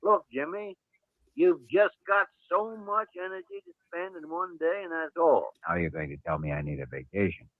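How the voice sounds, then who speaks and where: An actor playing an earnest, firm hospital doctor in a 1950s radio drama, theatrical and emphatic, on a tinny vintage recording. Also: male voice, on the high side, very animated, normal speed, no room sound. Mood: earnest, insistent.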